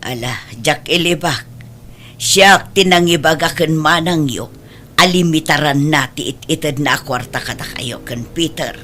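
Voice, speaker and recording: A woman acting a self-sacrificing, middle-aged mother in a radio drama. She has a lowish voice and speaks earnestly to her grown children, firm yet caring as she admonishes them. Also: unhurried, a bit bright, a little hiss or hum. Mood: earnest admonishing.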